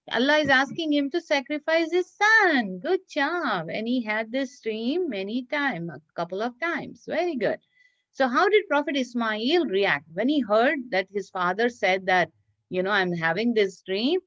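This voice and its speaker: A woman telling a story and teaching children in an expressive, encouraging, teacherly way over a video call. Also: high voice, unhurried. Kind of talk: read-aloud storytelling and quizzing children in an online class. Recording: dull lo-fi online class audio.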